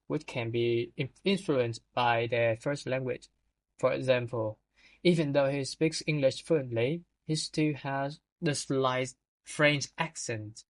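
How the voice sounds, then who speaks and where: A man co-hosting a scripted vocabulary lesson, reading out definitions with small stumbles. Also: mid-range voice. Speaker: podcast co-host.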